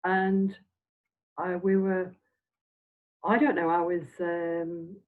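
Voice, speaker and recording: An older woman from Scotland, a semi-retired minister, reminiscing in a halting, meandering, reflective way as an interview guest. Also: lowish voice, little pitch movement. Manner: halting, meandering delivery with trailing phrases. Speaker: interviewed Spiritualist minister and medium. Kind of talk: reflective, anecdotal chat. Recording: dull, phone-like audio.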